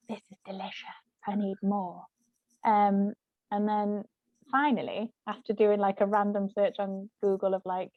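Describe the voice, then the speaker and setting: A woman with a mid-pitched voice chats informally, building her thoughts in short phrases. The recording is dry and a little dull and lo-fi, and her talk is relaxed book chat. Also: no room sound. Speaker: writer, discussion participant. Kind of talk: casual conversational book chat. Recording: slightly dull, lo-fi audio.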